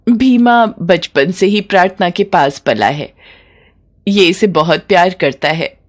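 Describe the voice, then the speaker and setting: Woman narrating a moral tale, lowish voice. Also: measured pace. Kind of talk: scripted children's moral story narration.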